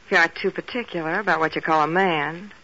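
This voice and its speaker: An actress playing a scheming, cool, teasing femme fatale with an American accent in a 1940s radio detective drama. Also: female voice, fairly low pitch, fast talker, sharp tone. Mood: coolly flirtatious. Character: sultry hard-boiled scheming femme fatale. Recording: dull, noisy old radio recording.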